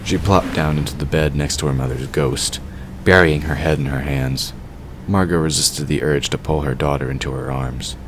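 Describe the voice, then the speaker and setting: A man's voice: a bass voice, steady tone, normal speed, slight background noise. A man narrating a fantasy audio drama from a script.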